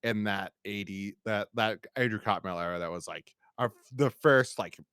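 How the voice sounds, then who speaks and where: A man's voice: lowish voice, normal speed, fairly bright tone, clean tone, dry recording, clean studio sound. An American man hosting a podcast, talking casually and enthusiastically in a rambling fan-review style. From United States. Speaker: podcast host.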